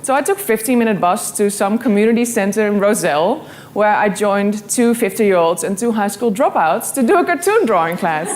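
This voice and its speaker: A woman's voice: medium pitch, expressive, moderate pace, hardly any pauses, a little mellow, slight background noise. A young woman from the Netherlands with a Dutch accent, giving an earnest, story-driven motivational talk to an audience. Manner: earnest, storytelling, quotes her own thoughts.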